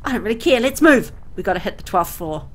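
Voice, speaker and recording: A woman's voice: medium pitch, sing-song, quick pace. A woman voicing a surly, impatient, bossy young man in a horror game, curt and dismissive.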